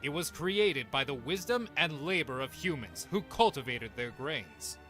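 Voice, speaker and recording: A man's voice: on the high side, big pitch swings, measured pace, pushed and bright, faint music behind, heavily acted. A man playing a proud, imperious young king in a comic dub, with a pompous, lofty manner. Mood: lofty, lecturing. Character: proud, boastful young king of ancient times, imperious but amused. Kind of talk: scripted comic dub acting.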